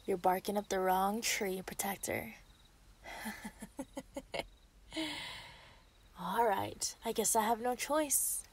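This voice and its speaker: A woman's voice: medium pitch, drawn-out words, pauses often, a little mellow, husky, full character voice. A woman voicing a menacing, taunting female werewolf in an ASMR roleplay audio drama, often dropping toward a whisper. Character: gleeful, menacing female yandere werewolf villain.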